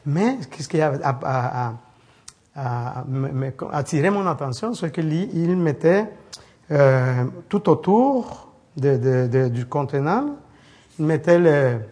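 A man's voice: fairly high pitch, expressive, darkish tone. A man from El Salvador preaching an informal sermon to a small congregation, telling stories with frequent restarts and searching for words. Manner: halting, many restarts, storytelling.

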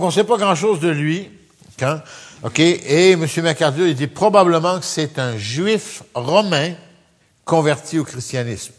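A man born in France, living in Canada, teaching a Bible study in a relaxed conversational way and often asking his listeners questions. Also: on the high side, big-room sound. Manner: conversational, asks the audience questions. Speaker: pastor.

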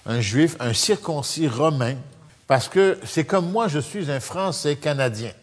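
A man born in France, living in Canada, teaching a Bible study in a relaxed conversational way and often asking his listeners questions. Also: a little high, measured pace, in a large hall. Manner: conversational, asks the audience questions. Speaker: pastor.

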